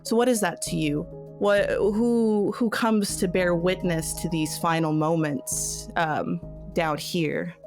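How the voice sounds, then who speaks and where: A woman runs a tabletop roleplay scene in a slightly low voice. She narrates slowly and thoughtfully, pausing as she builds atmosphere and puts questions to her player. Music plays softly beneath her. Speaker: game master. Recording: music under the voice. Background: background music.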